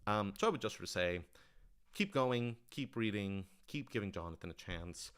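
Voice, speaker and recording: Man, low voice, casual livestream Q&A, thoughtful, self-qualifying, animated explainer. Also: normal speed, clean tone. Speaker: writer-director answering audience questions.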